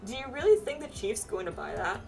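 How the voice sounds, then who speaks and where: A woman voicing a serious, dutiful young pilgrim from a fantasy game, in an earnest, measured manner. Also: medium pitch, sing-song, vocal fry, a little mellow, recorded from a distance, faint music behind. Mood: stern.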